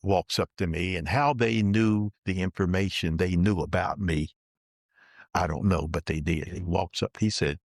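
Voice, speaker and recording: An older man being interviewed, slowly and plainly telling the story of his working life and dropping his voice for asides. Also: sits low, no room sound, clean studio sound. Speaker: interviewee recounting his career. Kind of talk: slow, deliberate personal storytelling.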